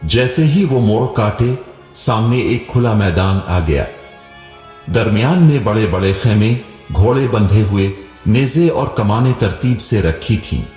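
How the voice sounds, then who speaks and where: A man narrates a scripted tale in a low, animated voice. He takes his time with each line, and a long reverb hangs around the words. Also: a little slow. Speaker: storyteller. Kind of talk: scripted moral story narration. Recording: roomy echo with long reverb, music behind. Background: music.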